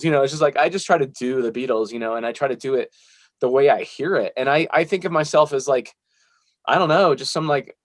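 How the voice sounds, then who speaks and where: A man's voice: medium pitch, no echo, clean studio sound. A man being interviewed as a musician, chatting casually in a rambling, self-deprecating way.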